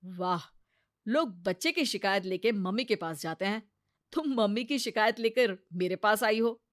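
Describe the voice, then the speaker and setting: A woman's voice: medium pitch, lively intonation, moderate pace, natural voice. Woman doing a mild, hesitant young husband. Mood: mildly sardonic. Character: mild, hesitant young husband caught between wife and mother. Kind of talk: comic Hindi cartoon story acting.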